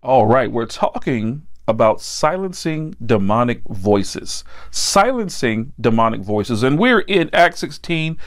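A man preaching and praying as a pastor, earnest and deliberate in his phrasing. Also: medium pitch, very animated. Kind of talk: sermon and spoken prayer.

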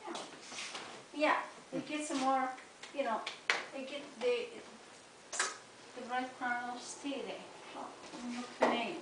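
An older woman teaching a family recipe in her home kitchen, in halting casual chat that repeats words and breaks off mid-phrase. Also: sits high, steady tone. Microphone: handheld camera across the room. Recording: echoey kitchen from far off, loud noise.

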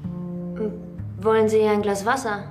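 Female role for an actress: attentive daughter, TV crime drama, mid-pitched, echoey room with music. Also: fairly even intonation, a little slow, lightly acted. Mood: attentive, reassuring. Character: attentive daughter of a Russian-speaking household. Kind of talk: naturalistic TV crime drama acting.

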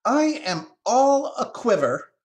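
A man's voice: extremely high pitch, sing-song, slow, pitched above the performer's own voice, exaggerated voice. A man voicing a trembling, earnest female squirrel who speaks in an agitated, plaintive way in a storybook reading. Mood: shaken. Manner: agitated, lamenting.

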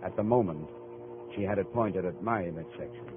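An actor playing a tough, wry American private investigator in a 1950s radio drama, terse and deadpan. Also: male voice, low voice, normal speed, loud music behind the voice, natural voice. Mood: wry, tense. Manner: terse, hard-boiled, deadpan. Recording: muffled old 1950 radio broadcast recording.

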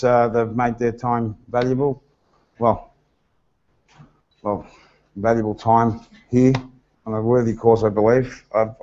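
A middle-aged man gives a prepared personal testimony in a small seminar room, with frank, deliberate phrasing. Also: mid-range voice, a little mellow. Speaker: guest speaker giving personal testimony. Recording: dull lo-fi seminar webcast sound.

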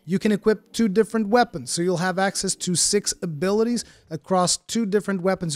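A man's voice: a little high, no room sound. Chatty man with an American-sounding accent. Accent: American-sounding English. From Portugal. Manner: chatty, explanatory, frequent fillers. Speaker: gaming streamer. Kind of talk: casual game review commentary.

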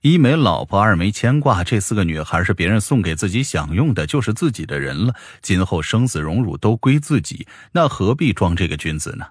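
A man narrating a fiction audiobook in an expressive, dramatic storyteller manner. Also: low voice, brisk, hardly any pauses, breathy edge, dry recording, clean studio sound.

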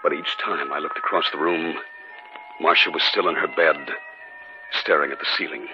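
An actor playing a skeptical, protective husband in 1950s radio drama style. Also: male voice, rumbling low, fairly even intonation, moderate pace. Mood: grave narration. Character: skeptical husband, protective, narrating an eerie tale. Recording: dull 1950s radio recording with music.

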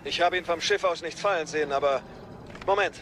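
An actor playing an efficient military commander in an audio drama, giving clipped reports. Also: male voice, fairly high pitch, brisk, fairly bright tone, a little hiss or hum, lightly acted. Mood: businesslike.

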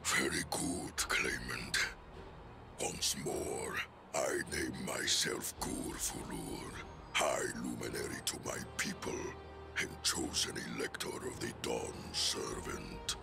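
An actor playing a proud giant leader of his people in a video game cutscene, very deep and gravelly, speaking in a solemn, formal, stately manner. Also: slow pace, over music, heavily acted.

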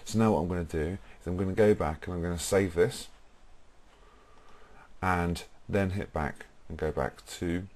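A young British man presenting a software tutorial, explaining informally step by step in a husky voice. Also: low-pitched, fairly even intonation, moderate pace. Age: young adult.

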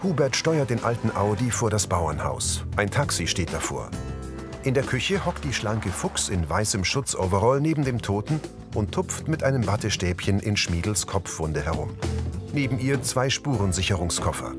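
Low-voiced man narrating, with lively intonation. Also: normal speed, music in the background. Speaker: audio description narrator. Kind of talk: scripted scene narration in present tense.

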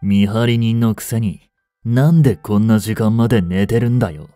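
A man's voice: lowish voice, moderate pace, dark tone, no room sound, faint music behind, lightly acted. An actor playing a blunt, bossy vampire older brother in a Japanese romance voice drama, scolding as he holds back his hunger. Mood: irritated, scolding. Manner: blunt, rough-spoken, bossy.

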